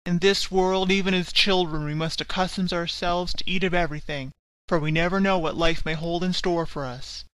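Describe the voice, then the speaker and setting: A woman with a Canadian accent voicing a kindly old father, speaking gently. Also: on the low side, steady tone, normal speed, no echo, lightly acted, poor audio. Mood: gently chiding.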